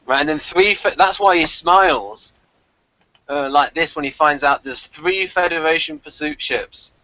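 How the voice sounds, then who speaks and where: Male review-show host, forceful bright voice, chatty and emphatic, casual discussion over lo-fi call audio. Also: fairly high pitch, measured pace, no room sound.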